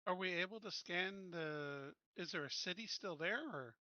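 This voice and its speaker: Bright-voiced man playing a tabletop game. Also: high voice, moderate pace, dry recording, muffled recording. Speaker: player. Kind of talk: casual tabletop game chat.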